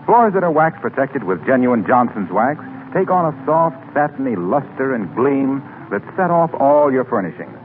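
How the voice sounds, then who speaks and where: Lively 1940s radio announcer man, thin sound. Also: medium pitch, narrow, tinny audio, slight background noise. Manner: polished, measured salesmanship.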